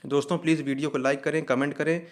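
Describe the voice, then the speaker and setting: A man's voice: a little high, level tone, rapid-fire. A man giving an informational explainer to students in a reassuring way, mixing in English terms. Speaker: host of an exam update channel.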